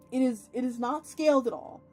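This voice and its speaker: A woman livestream host speaks in a fairly high, slightly theatrical voice at a slow pace. She is playful and effusive as she chats casually with her viewers. Faint music plays behind her. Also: darkish tone.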